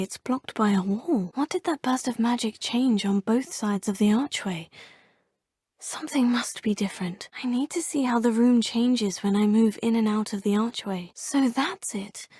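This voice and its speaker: Breathy curious young witch student. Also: a little high, a little mellow, no echo, clean studio sound. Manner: thinks aloud, polite and formal. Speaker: player character. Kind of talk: video game voice lines.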